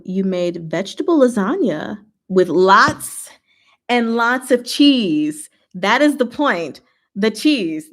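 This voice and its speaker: A woman hosting a casual, rambling livestream chat with viewers, talking in an expressive, playful way. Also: mid-range voice. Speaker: livestream host answering chat.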